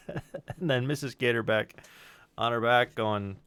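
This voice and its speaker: A man's voice: medium pitch, takes it slowly, a bit bright, smooth, no echo. A man co-hosting a stream in casual, conversational chat. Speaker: stream co-host.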